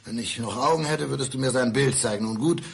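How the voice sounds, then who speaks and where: A man's voice: mid-range voice, fast talker, gravelly, slight background noise, natural voice. An actor playing a bitter, brooding blind divorced man who needles his ex-wife in a radio crime drama. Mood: insistent. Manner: brooding, sardonic, insistent. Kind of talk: 1990s German radio crime drama acting.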